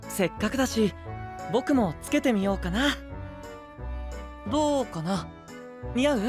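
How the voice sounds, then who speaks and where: A man playing a gentle, curious, polite young knight in an anime voice drama, speaking softly with calm teasing. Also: extremely high pitch, a little slow, music in the background. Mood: curious, delighted.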